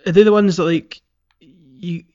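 A man co-hosting a casual gaming podcast, explaining in a halting way. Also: sits high, steady tone, a little mellow, lo-fi sound. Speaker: podcast co-host. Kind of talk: casual chat about a video game playthrough.

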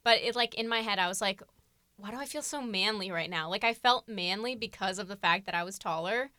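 A teenage girl co-hosting a casual podcast chat, self-mocking and quick to laugh. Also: medium pitch, sharp tone, dry recording, studio quality. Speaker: podcast co-host.

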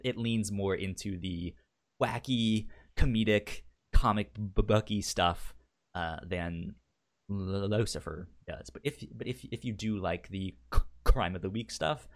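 Man, lowish pitch, podcast co-host, casual review talk, frequent stutter on sounds and words. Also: a little slow, vocal fry.

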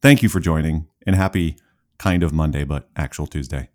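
Low-voiced rambling podcast host. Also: male voice, a little fast. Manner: rambling, talkative, self-aware. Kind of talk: casual interview conversation.

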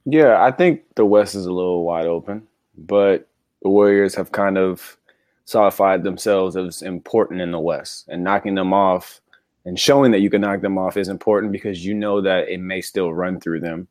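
Deep-voiced laid-back man chatting sports. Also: steady tone, moderate pace, a little mellow, no room sound, clean studio sound. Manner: laid-back, slangy, conversational. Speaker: sports podcast co-host. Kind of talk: casual sports chat.

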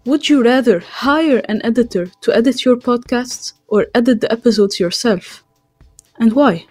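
A woman's voice: fairly high pitch, normal speed, darkish tone, soft background music. A woman from France hosting a podcast, reading out a scripted introduction and survey questions. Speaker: podcast host.

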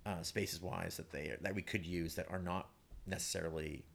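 A man chatting as a playwright guest on a panel, in a halting, rambling, conversational way. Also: deep voice, fairly even intonation, vocal fry, fairly bright tone. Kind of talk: casual panel discussion.

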